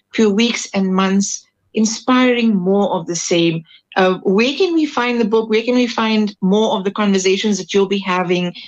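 A woman interviewer offers reflections and then asks her guest follow-up questions. She speaks in a thoughtful, measured and encouraging way. Her voice sits at an ordinary middle pitch. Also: muffled recording. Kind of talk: interview host.

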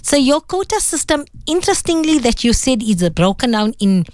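A woman's voice: sits high, very animated, moderate pace, darkish tone, no room sound. A middle-aged woman with a South African accent, interviewing as host in a conversational way and adding personal reflections.

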